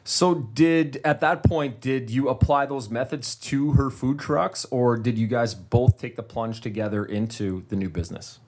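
A man's voice: mid-range voice, unhurried, hardly any pauses, noisy background. A man hosting a small business podcast, reading a polished intro and asking interview questions. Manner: polished, announcer-like delivery. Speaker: podcast host. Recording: faint music under the voice.

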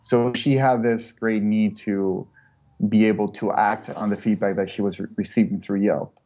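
A man's voice: on the low side, fairly even intonation, normal speed, warm tone, slight background noise. A man from Chile, a startup co-founder, answering interview questions in a hesitant, casual way with many fillers and restarts.

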